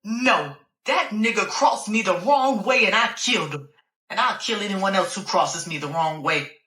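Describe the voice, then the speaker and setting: A woman's voice: mid-range voice, big pitch swings, moderate pace, pushed and bright, roomy sound. A woman voicing a volatile, furious woman who curses in explosive outbursts.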